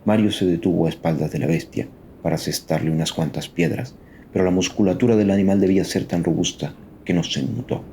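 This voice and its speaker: A man's voice: low-pitched, fast talker, dark tone, slight background noise. A man narrating a scripted dramatic story in a solemn, suspenseful manner.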